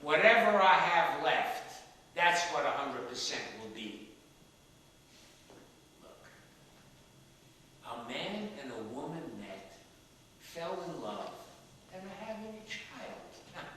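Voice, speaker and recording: A man's voice: a little high, big pitch swings, slow pace, long pauses, sharp tone, a little hiss or hum, full character voice. An actor playing a spry, stubborn ninety-seven-year-old father in a stage play, defensive and dryly joking. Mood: earnest. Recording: echoey stage hall from far off, clicks.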